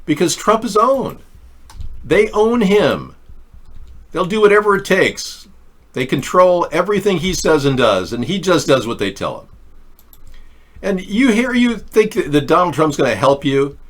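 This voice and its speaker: A man's voice: sits high, very animated, measured pace. A man hosting a political commentary livestream, talking in a sarcastic, ranting way. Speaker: host.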